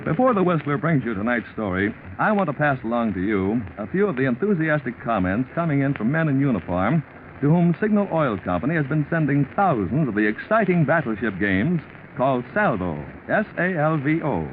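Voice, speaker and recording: A man announcing on 1940s radio as the sponsor's pitchman, polished and salesmanlike. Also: big pitch swings, fairly quick. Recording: tinny 1940s radio recording, faint noise.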